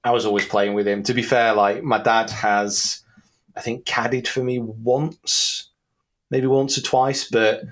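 A man's voice: mid-range voice, flat delivery, measured pace, fairly bright tone. A man in his thirties with an English accent, chatting casually and self-deprecatingly as an interview guest. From England. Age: 30s. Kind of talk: casual conversational chat.

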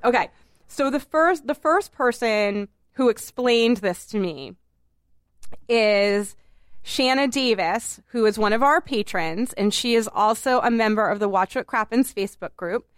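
A woman hosting a podcast in casual chat, animated and exaggerated, laughing often, on a dry studio mic. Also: a little high, measured pace, clear, resonant tone, no echo. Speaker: podcast host.

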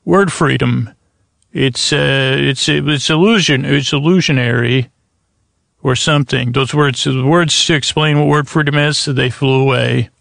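Man, gravelly creak, dry close sound, soothing bedtime podcast host, meandering, stumbling delivery. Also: mid-range voice, steady tone, no room sound. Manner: meandering, halting, stuttering with unfinished thoughts; soothing, lulling, gentle. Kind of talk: rambling bedtime sleep podcast monologue.

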